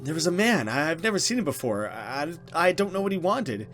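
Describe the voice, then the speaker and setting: A man voicing a frightened, mysterious woman escaped from captivity in a horror game, halting and dramatic. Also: a little high, very animated, fairly bright tone, soft background music. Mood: hesitant, haunted.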